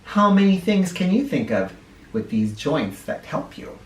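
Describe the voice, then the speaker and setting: Male children's book reader. Slow, lively sing-up-and-down delivery, instructive and direct, heard a little away from the mic. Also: fairly high pitch. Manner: expressive, instructive, addressing young listeners directly. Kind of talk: animated read-aloud of a children's picture book, with asides. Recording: roomy echo, distant from the microphone.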